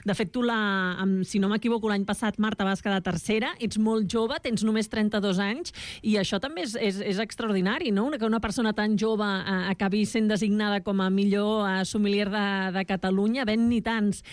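A woman's voice: mid-range voice, steady tone, a little fast, dry recording. A woman hosting a radio interview, asking long, winding questions full of fillers and small hesitations.

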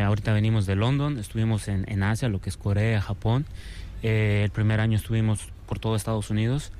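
A man with a Venezuelan accent answering interview questions casually, relaxed and a little hesitant, often repeating words. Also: medium pitch, slight background noise. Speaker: circus artist, interview guest.

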